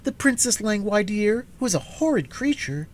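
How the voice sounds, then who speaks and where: A spirited little storybook girl, as a man puts her on, pitched very high and lively. She talks in an insistent, pleading way. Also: moderate pace.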